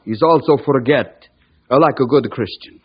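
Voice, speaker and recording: An actor playing a proud, emphatic immigrant shopkeeper who speaks broken English with a foreign accent in a radio drama. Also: male voice, mid-range voice, normal speed, heavy background noise, natural voice. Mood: earnest, forgiving. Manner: broken English, emphatic, refers to self by name. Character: proud, good-hearted but touchy immigrant storekeeper. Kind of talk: old-time radio drama acting. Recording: muffled old radio broadcast recording.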